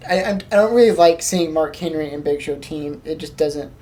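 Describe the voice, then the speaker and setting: A woman with a notably low voice chats as a podcast co-host. Her tone is mellow and dark, and her delivery is casual and conversational. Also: fairly quick. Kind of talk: casual chat.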